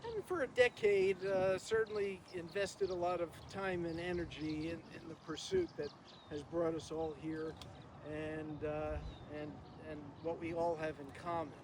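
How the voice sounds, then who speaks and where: An older man giving an informal, reminiscing memorial tribute outdoors, speaking haltingly and rambling with frequent pauses. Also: a little high. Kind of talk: informal reminiscing speech to a gathering. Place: outdoors in a garden. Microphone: distant camera microphone, no working PA. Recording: noisy outdoor recording, distant microphone.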